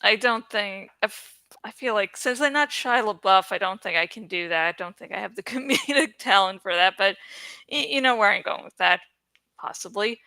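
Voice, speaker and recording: Bright, clear-voiced woman chatting to viewers. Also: mid-range voice, animated, normal speed, dry recording. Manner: rambling, playful, self-deprecating, giggly. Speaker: YouTuber who reads books aloud. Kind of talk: casual, chatty vlog talk to viewers.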